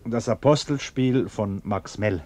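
An actor playing a respectable middle-class husband in a radio play, evasive, self-justifying and easily indignant. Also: male voice, on the low side, measured pace, darkish tone. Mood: earnest, pious. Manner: defensive, blustering, hesitant when cornered. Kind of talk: German radio play acting.

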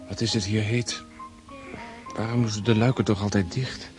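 A man's voice: on the low side, steady tone, normal speed, over loud music, natural voice. An actor playing a wistful, brooding nobleman thinking aloud in a radio drama monologue.

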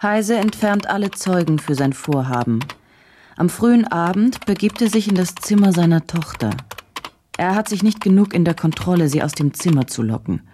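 An actress playing a journalist who narrates a case in a sober, detached, measured way for a radio drama. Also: female voice, lowish voice, expressive, mellow, close to an everyday voice. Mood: detached, tense. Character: woman journalist soberly reconstructing a dark case. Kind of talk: German radio drama, present-tense report narration. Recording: clear noise, typing clicks behind.

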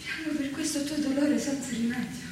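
A woman's voice: high-pitched, steady tone, brisk, close to an everyday voice. A woman playing an emotional, insistent female character in a theatrical Shakespearean tragedy. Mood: earnest pleading. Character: women of tragedy: pleading wife, outraged attendant. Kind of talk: Shakespearean stage tragedy acting for radio. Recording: echoey, distant, noisy stage recording.

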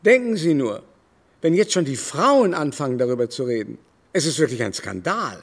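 A man's voice: medium pitch, very animated, normal speed. An actor playing an aging, haughty male aristocrat, indignant and gossipy, declaiming theatrically in a literary radio drama. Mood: scandalized. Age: older. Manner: theatrical, declamatory, long-winded lamenting. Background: musical score.

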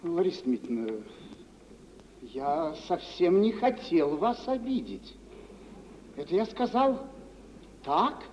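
An actor playing an insecure, jealous fiancé with a prickly, agitated and halting manner, in mid-century radio theatre style. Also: male voice, on the high side, sing-song, darkish tone. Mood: apologetic. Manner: agitated, halting, repeats words when pressing. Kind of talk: 1950s Russian radio theatre acting. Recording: muffled, hissy mid-century radio recording.

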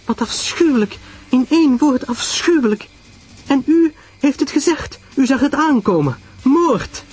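A man's voice: very high-pitched, expressive, measured pace, a little mellow, faint music behind, voice pushed up. A man voicing an emphatic, reproachful young society woman in a mystery audio drama. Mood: horrified. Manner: emphatic, exclaiming.

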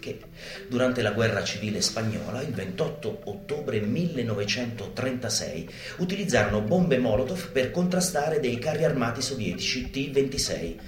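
A man delivers a mock instructional monologue in a live theater. His pitch sits in the middle but moves with great animation, and he is emphatic, with deliberate dramatic pauses. The room echoes around him, and live guitar music plays faintly behind. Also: lively intonation. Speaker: stage performer. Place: indoor theater stage. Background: live guitar and bass music.

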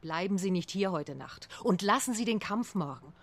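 Firm, mid-pitched female detective. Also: expressive, moderate pace. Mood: insistent. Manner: direct, businesslike, insistent. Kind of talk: radio drama acting.

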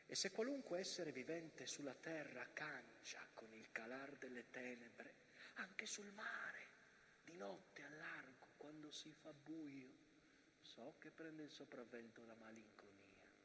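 An actor playing a mountain that tells of soldiers at war in a declamatory theatrical manner. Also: male voice, medium pitch, lively intonation, slow. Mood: melancholy, hushed. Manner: declamatory, swinging from hushed to shouting. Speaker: stage actor. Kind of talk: theatrical monologue, dramatic narration. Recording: reverberant hall with background noise.

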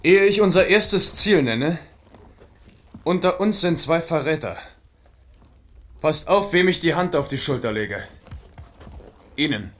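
A man's voice: on the high side, lively intonation, unhurried, pauses often. An actor playing a confident, magnanimous young king in a radio drama, speaking decisively and in a declamatory way. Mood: wry, commanding.

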